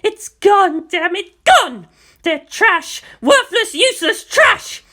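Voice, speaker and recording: A reader voicing a shrill, overwrought aunt who screeches at a child. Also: female voice, expressive, slow, a bit bright, full character voice. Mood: screaming. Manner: screeching, high-strung.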